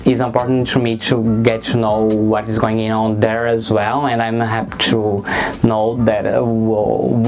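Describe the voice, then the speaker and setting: Man, interview guest, measured, pausing often, monotone. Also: medium pitch. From Brazil. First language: Portuguese. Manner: measured, pauses with frequent ums. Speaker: guest, HIV activist. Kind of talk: thoughtful interview answers.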